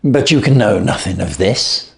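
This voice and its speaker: A man's voice: on the low side, lively intonation, normal speed, creaky. A man voicing a nervous little thief with a quavering voice, pleading in panicky questions. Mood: anxious.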